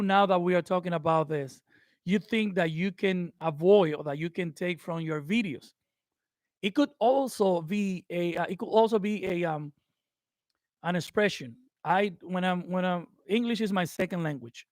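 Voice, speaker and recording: A man's voice: high-pitched, no echo, studio quality. A man whose first language is Spanish gives casual advice to viewers, hesitant and full of fillers and restarts. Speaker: YouTube creator. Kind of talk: casual advice talk to camera.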